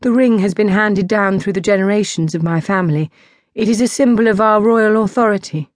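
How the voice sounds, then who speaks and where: A woman's voice: medium pitch, fairly quick, no echo, pitched above the performer's own voice, lightly acted. Woman voicing a gracious, earnest teenage princess, dry sound. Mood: earnest, explaining. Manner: polite, earnest explaining.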